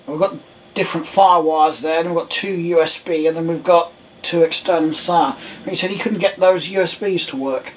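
An English man in his thirties with a croaky voice, chatting casually and rambling with lots of ums while showing computer parts at home. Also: a little high, fairly quick, recorded from a distance. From England. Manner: rambling, relaxed, frequent ums. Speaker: tech vlogger. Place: small home room indoors. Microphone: camera mic at a distance. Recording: faint room noise, voice a bit off-mic.

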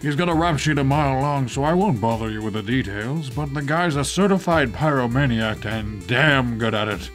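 A man acts a stern older male police chief with a medium-pitched voice. He is weary, authoritative and matter-of-fact in audio-drama style, with faint music behind him. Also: moderate pace, few pauses, natural voice. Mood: grave briefing.

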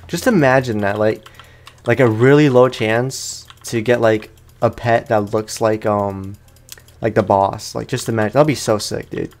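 A man's voice: on the low side, steady tone, measured pace. A young man, sounding tired, gives casual let's play commentary as a gaming host, rambling along in slangy, informal speech.

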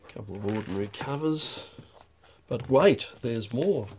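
A man talking through a stamp auction lot in a dry, unhurried way, with wry asides, recorded over a desk. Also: mid-range voice, lively intonation, a little mellow, slight background noise. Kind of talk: off-the-cuff lot walkthrough. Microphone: overhead camera on a desk.

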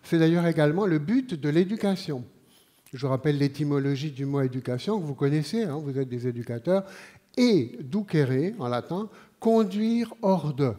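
A man in his sixties with a Swiss French accent lectures from an auditorium stage. His voice sits a little high for a man yet sounds mellow and warm. He talks slowly and deliberately, pausing often and filling the gaps with hesitations. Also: lively intonation. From Switzerland. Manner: measured, unhurried delivery with frequent pauses and fillers. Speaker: conference speaker. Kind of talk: live conference talk.

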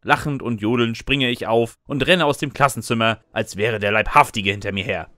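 A man's voice: medium pitch, moderate pace, sharp tone, dry recording, studio quality, lightly acted. A man playing a sarcastic student who narrates his own chaotic life in scripted comic first person. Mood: wry narrating. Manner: wry, sarcastic. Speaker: narrator and lead character.

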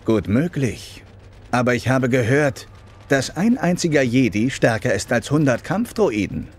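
A man's voice: medium pitch, lively intonation, measured pace, slightly rough. A man playing a dignified, skeptical alien king with a regal, wary manner.

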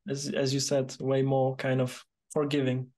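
A man in his twenties from Poland with a Polish accent, interviewing conversationally on a video call in halting phrases. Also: medium pitch, completely flat delivery, mellow. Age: 20s. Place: small room, indoors, video call.

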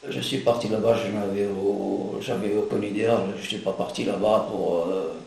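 Older man, low gravelly, very echoey. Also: fairly even intonation, normal speed, a little mellow, slight background noise. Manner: hesitant, trailing off. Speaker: veteran interviewee.

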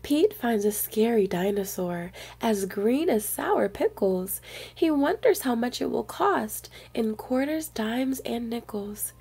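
A woman reading a picture book aloud to young children in an expressive storyteller's manner. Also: on the high side, slow, creaky, slightly rough.

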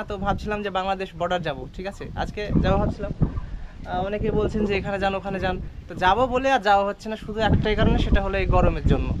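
A man narrating a casual village walk vlog, chatting to viewers and asking locals questions. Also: high-pitched. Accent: Bengali. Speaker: travel vlogger. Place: outdoors in a village. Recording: noisy outdoor recording.

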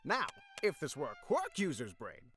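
A man's voice: on the high side, huge pitch swings, normal speed, a bit bright. A man voicing a breezy, smug, oblivious older doctor in an anime parody, folksy in manner. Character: quack older male doctor, breezy and tactless. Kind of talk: anime parody dub acting.

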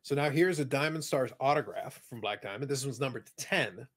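A man co-hosting a casual podcast, talking quickly about trading cards with dry jokes, on a video call. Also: mid-range voice, a bit bright. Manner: quick, chatty, dry joking. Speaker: podcast co-host.

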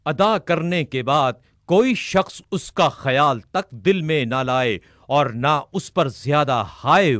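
Man, slightly high voice, measured formal sermon reading, dry close sound. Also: a bit bright, no echo. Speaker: reader of religious sermons. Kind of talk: solemn recitation of a sermon text.

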